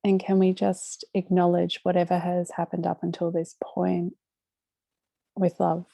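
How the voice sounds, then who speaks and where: Slow, very mellow woman guiding meditation. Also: lowish voice, flat delivery, creaky, no echo, studio quality. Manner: gentle, unhurried, with long pauses between short phrases. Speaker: meditation guide hosting a live session. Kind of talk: guided meditation.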